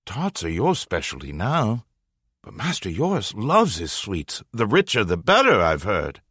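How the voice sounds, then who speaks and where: A woman's voice: rumbling low, wildly swooping pitch, unhurried, fairly bright tone, breathy edge, no room sound, clean studio sound, pitched below the performer's own voice, heavily acted. A woman voicing a jovial middle-aged male master baker, speaking in a hearty, eager way. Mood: pleased.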